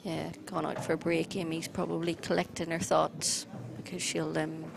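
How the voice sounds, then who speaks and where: A woman's voice: deep voice, level tone, normal speed, creaky, background noise. A woman co-commentating live on a pool match in a chatty way, with frequent fillers.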